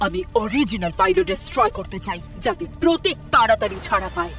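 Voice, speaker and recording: A woman's voice: a little high, sing-song, quick pace, a bit bright. An actress playing a cruel, commanding woman villain in a dramatic cartoon. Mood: commanding, smug. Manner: menacing, theatrical, commanding. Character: cruel, threatening female villain blackmailing a police inspector. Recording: music under the voice.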